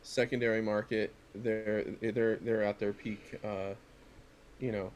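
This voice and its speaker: A man's voice: lowish voice, flat delivery, unhurried, darkish tone, highs cut off, a little hiss or hum. A middle-aged man chatting casually and jokingly as a podcast co-host, in a rambling way. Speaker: podcast co-host and wrestling figure collector. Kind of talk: casual chat in an unboxing video.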